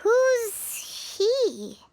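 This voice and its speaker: A woman voicing a tiny, childlike fairy companion in a video game, squeaky, expressive, excitable and inquisitive. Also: extremely slow, background noise, full character voice. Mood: alarmed, questioning. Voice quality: very high, squeaky.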